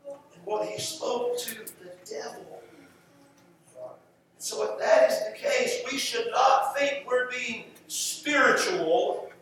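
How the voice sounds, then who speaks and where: An American man preaching a sermon in an emphatic style, with rhetorical repetition and deliberate pauses. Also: extremely high pitch, a bit bright. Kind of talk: sermon to a congregation. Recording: echoey, distant mic, faint background noise.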